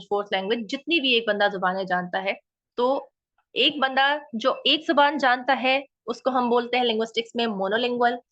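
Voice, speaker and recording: Brief: higher-voiced Pakistani Urdu-accented woman, researcher teaching patiently, dull lo-fi recording. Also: a bit bright. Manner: frequent "okay?" checks, mixing in English phrases. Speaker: linguistics researcher. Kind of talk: explanatory talk. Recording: dull lo-fi audio.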